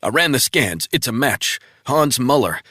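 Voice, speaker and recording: A man voicing a brisk male intelligence analyst reporting findings over the phone, in a dry audiobook reading. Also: mid-range voice, sing-song, bright, forceful tone, husky, no echo, voice pushed up, full character voice. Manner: brisk, rapid, matter-of-fact reporting.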